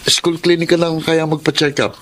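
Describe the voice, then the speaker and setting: A fairly high-pitched young college guy played by a man, evasive and easily rattled. He talks insistently in radio drama style, over faint noise. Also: flat delivery, normal speed, fairly bright tone, lightly acted. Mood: casual. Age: young adult. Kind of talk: Tagalog radio drama acting.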